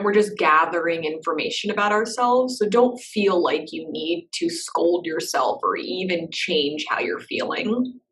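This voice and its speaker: Meditation guide, woman, lowish voice, soft and unhurried, recorded a little off-mic. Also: far from the mic, poor audio. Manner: soft, slow and reassuring, with gentle pauses.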